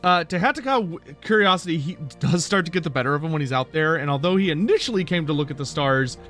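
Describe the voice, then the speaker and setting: Male tabletop player, slightly high voice, deliberate descriptive narration. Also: a bit bright, faint music behind. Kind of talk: describing his character's actions.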